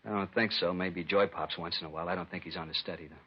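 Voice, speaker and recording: Casting: sick, whining arrested addict for vintage radio crime drama, low and quick, slangy, defensive, cornered. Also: male voice, fairly even intonation, husky. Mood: wary. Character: sick, evasive, pleading male narcotics addict under arrest. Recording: dull, lo-fi vintage radio recording.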